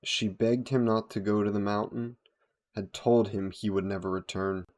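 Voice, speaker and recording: A man voicing a brooding, solemn vampire who narrates a dark tale in a deliberate, dramatic storytelling style. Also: fairly low pitch, fairly even intonation, close to an everyday voice. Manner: slow, deliberate, solemn delivery. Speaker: audio roleplay performer, storyteller.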